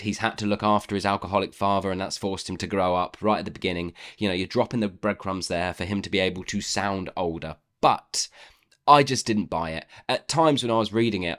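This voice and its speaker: A man's voice: fairly low pitch, a bit bright. A man giving a casual book review in a chatty, opinionated way, repeating words for emphasis.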